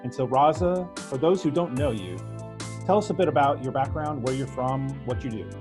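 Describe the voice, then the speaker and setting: A man hosting an interview, introducing his guest and asking questions in measured, slightly formal phrasing. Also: mid-range voice, over music. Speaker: interview host.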